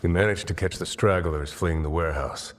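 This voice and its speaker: A man playing a gruff, serious resistance fighter in a fantasy video game, speaking tersely. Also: low-pitched, a little fast, natural voice. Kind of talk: dramatic video game acting. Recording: music behind the voice.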